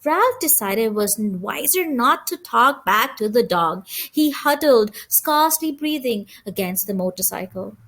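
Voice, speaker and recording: A woman reading a children's story aloud as an audiobook narrator, with expressive delivery. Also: a little high, unhurried, hardly any pauses. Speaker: audiobook reader.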